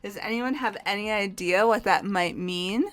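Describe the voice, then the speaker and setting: A woman playing an excitable, candid young character in a fan-voiced game, with informal, exclamatory delivery. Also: medium pitch, moderate pace, faint music behind, lightly acted. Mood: concerned, puzzled.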